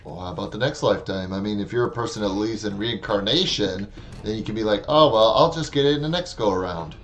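A man's voice: on the low side, fast, soft background music. A man in his thirties from Wisconsin, with a Wisconsin accent, chatting casually and wryly while streaming a game from his room on a headset mic. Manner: chatty, wry, talks back to the game. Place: small room at home.

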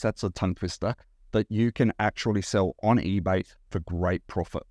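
A man in his forties with an Australian accent, talking straight to camera about reselling in a blunt, animated way. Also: fairly low pitch, no room sound, studio quality. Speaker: reseller vlogger. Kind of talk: direct-to-camera vlog talk. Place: indoor room by large window.

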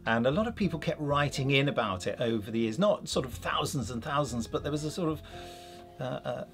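Guy in his 50s chatting in an interview, lapel mic, clear music behind him. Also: medium pitch, expressive, a little fast, fairly bright tone. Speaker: audio drama director. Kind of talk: casual interview talk. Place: studio room, indoors.

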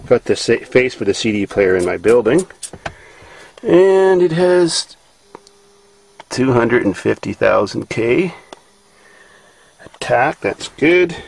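A man's voice: medium pitch, big pitch swings, takes it slowly, gravelly, long pauses. A man, giving casual running commentary in breezy, slangy short remarks on a handheld camera. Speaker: amateur mechanic filming his truck. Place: outdoors and inside a truck cab. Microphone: handheld camera at arm's length. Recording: noisy handheld camcorder, vehicle and outdoor noise.

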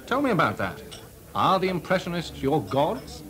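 An actor playing a witty young composer in a 1980s radio drama, talking dryly and ironically. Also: male voice, a little high, huge pitch swings, moderate pace, bright, forceful tone, heavily acted. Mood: wry. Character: composer Delius, young man, wry and witty. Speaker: lead actor. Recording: party crowd behind.

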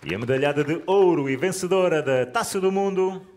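A man's voice: a little high, fast, darkish tone, some chatter behind, a little hiss or hum. A man announcing a medal ceremony in a formal, projecting manner. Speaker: venue announcer.

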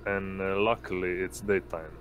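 A man with a very low voice runs a tabletop roleplaying game. His intonation stays flat and he feels his way through answers haltingly. A clear noisy hum sits behind his online call. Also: lo-fi sound. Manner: halting, hesitant, improvising. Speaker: game master. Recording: noisy line with constant hum.

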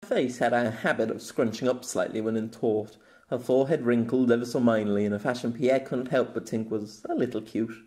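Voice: mid-range voice, fairly quick, mellow. A narrator reading a scripted novella aloud as an audio story.

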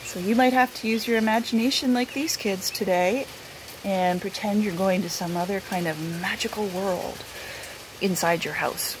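A middle-aged woman, a teacher, reads a picture book aloud expressively, outdoors under a roof. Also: medium pitch. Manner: unhurried, animated. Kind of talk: expressive read-aloud storytelling. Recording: rain noise behind the voice.

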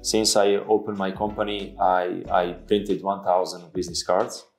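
Male executive guest, Serbian accent, low register, halting delivery, studio interview over faint music. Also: little pitch movement, gravelly. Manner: halting, hesitant delivery with repeated words. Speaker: company CEO, interview guest. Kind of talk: interview answers about his business. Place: indoor studio at a desk. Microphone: clip-on lapel mic. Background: background music.

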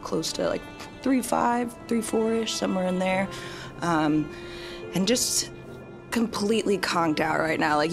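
A woman's voice: lowish voice, gravelly. A woman playing a tabletop game, narrating her character in a casual, conversational, hedging way.

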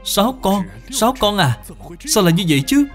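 A man's voice: sits high, big pitch swings, brisk, pitched above the performer's own voice. A man voicing a troubled, remorseful young man in a cartoon, anxious, questioning and pleading. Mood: shocked, questioning. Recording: music behind the voice.

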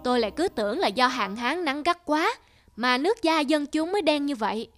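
An arrogant envoy from a mighty country in a cartoon folktale, acted by a woman. He is haughty and mocking, high in pitch, with music behind him. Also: animated, fairly quick, fairly bright tone. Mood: slyly mocking.

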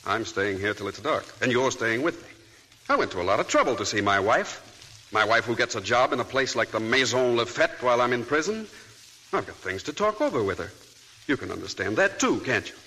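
An actor playing a coldly calm escaped murderer who talks in a quiet, threatening way, in 1940s radio acting. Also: male voice, mid-range voice, a little fast. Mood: menacing. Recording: old noisy radio broadcast.

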